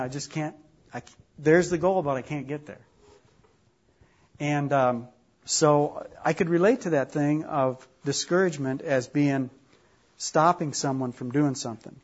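A man's voice: a little high, husky, highs cut off. A man from Minnesota with a Minnesota accent, leading an informal class discussion in a chatty, self-deprecating way. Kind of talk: informal group teaching.

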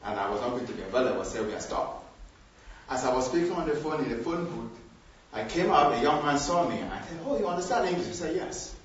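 A man's voice: fairly high pitch, lively intonation, fast talker. Older Nigerian man, echoey and distant. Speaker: group participant sharing a story. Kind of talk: personal testimony in a group. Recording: echoey, far from the mic, dull.

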